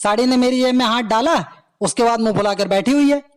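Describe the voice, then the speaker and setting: A man playing a cheeky, deadpan husband who protests plainly in a comedy skit. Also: extremely high pitch, fast, smooth. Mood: protesting.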